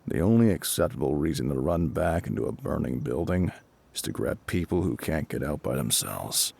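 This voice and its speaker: A man playing a gruff, calm fire captain in an intimate audio roleplay, with a husky, gravelly voice and a measured, reassuring, matter-of-fact way of talking. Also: a bass voice, full character voice. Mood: dry, reassuring. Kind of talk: intimate scripted audio roleplay spoken to the listener.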